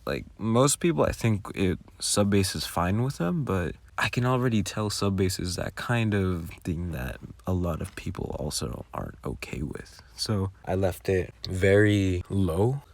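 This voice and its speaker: Super low gravelly guy walking thru his audio project, chatty and halting, kinda self-deprecating. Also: expressive. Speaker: audio roleplay creator. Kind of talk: casual behind-the-scenes walkthrough commentary.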